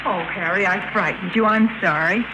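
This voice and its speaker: An actress playing a mysterious, cool and steely countess in a 1950s radio drama. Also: female voice, medium pitch, normal speed, close to an everyday voice. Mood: weary. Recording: noisy vintage 1950s radio recording.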